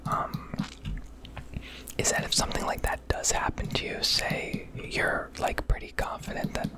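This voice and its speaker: An American woman whispering a casual, rambling ASMR commentary over gameplay, swearing freely. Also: a little slow. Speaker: video creator narrating gameplay.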